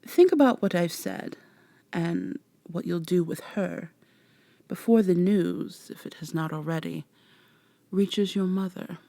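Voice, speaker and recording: A woman voicing a haughty, teasing vampire in a formal, measured, condescending way for an ASMR roleplay. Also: fairly low pitch, gravelly, dark tone, no echo. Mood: cool, teasing. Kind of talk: soft-spoken ASMR roleplay acting.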